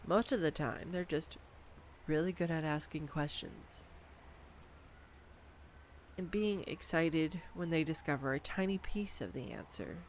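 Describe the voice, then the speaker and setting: A woman voicing a nerdy, affectionate girlfriend who rambles excitedly about animal facts in an intimate audio roleplay. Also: deep voice, a little slow, pauses often, a little hiss or hum. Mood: curious. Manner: enthusiastic, rambling, tangent-prone, giggly. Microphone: studio USB microphone.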